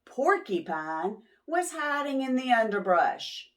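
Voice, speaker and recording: Woman doing storytime for little kids, highish voice, really slow, lots of up n down. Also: big pitch swings. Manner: pauses to question and explain to young listeners. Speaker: children's storytime host. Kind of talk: expressive picture book read-aloud for preschoolers.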